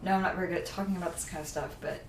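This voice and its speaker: An actress playing a guarded, blunt young student vigilante in an audio drama, speaking in a dry, halting, understated way. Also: female voice, fairly low pitch, fairly even intonation, close to an everyday voice. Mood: awkward, sincere. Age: young adult. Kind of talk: naturalistic audio drama dialogue. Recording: roomy, distant mic, faint noise.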